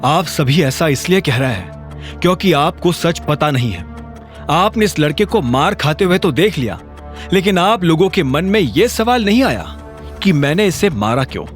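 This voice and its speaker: A man's voice: a little high, big pitch swings, moderate pace. A young son-in-law in an audio drama , played by a man. He is defensive and stubborn, speaking with indignant earnestness. Faint music runs behind the voice. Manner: earnest, indignant self-justifying. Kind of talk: Hindi audio drama acting.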